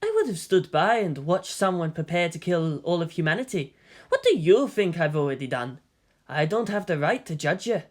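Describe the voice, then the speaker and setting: A narrator voicing a tolerant old former commander in a story, speaking in a measured, formal, dryly musing way. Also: mid-range voice, big pitch swings, natural voice. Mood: dry reproach.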